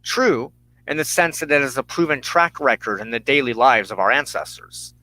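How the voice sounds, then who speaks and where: A man hosting a religious broadcast, giving a solemn, measured sermon of personal testimony and teaching with emphatic phrasing and deliberate pauses. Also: medium pitch, animated, pushed and bright, dry recording, poor audio.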